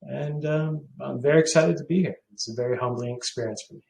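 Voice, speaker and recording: A man in his forties from Hong Kong, answering questions as a company CEO on a video call, pausing with many filler sounds. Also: medium pitch, vocal fry, a little mellow, reverberant, a little hiss or hum, rough recording. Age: 40s.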